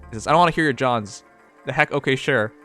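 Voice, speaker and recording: A streaming host with a slight Chinese accent rambles bluntly and profanely while reading out chat. His English is his second language, and his voice is bright and forceful at an ordinary middle pitch. Soft music plays faintly behind him. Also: fast. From Canada. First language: not English. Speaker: livestream host. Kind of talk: casual chat, reading chat messages aloud.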